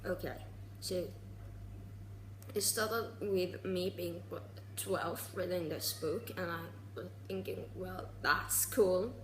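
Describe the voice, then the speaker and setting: A woman around twenty talking casually in a personal vlog, halting and restarting, with sarcastic asides. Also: lowish voice, roomy sound, slight background noise, poor audio. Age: 20s. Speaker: vlogger.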